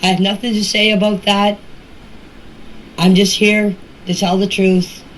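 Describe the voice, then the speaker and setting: A woman's voice: mid-range voice, little pitch movement, a little slow. A woman giving a casual, rambling personal account in plain, folksy words, recorded as voice messages. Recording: noisy voice-message clips with background ambience.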